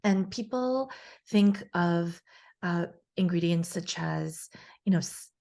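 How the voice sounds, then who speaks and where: A woman from New York City, born in South Korea, answering interview questions in a measured, explanatory way with frequent pauses. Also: fairly low pitch, creaky, darkish tone. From born in South Korea, grew up in New York City. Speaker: guest, facial plastic surgeon.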